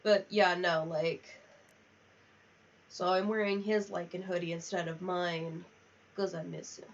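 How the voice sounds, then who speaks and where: A woman's voice: fairly low pitch, level tone, measured pace. A young woman chatting casually on a gaming livestream, rambling from one aside to the next. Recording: distant, slightly muffled mic.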